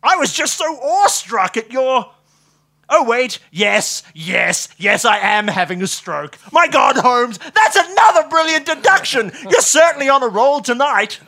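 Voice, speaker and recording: Man playing an excitable, high-pitched dormouse doctor. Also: measured pace, full character voice. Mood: delirious excitement. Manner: loud, breathlessly over-awed exclamations. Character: excitable, gushing dormouse doctor sidekick, male.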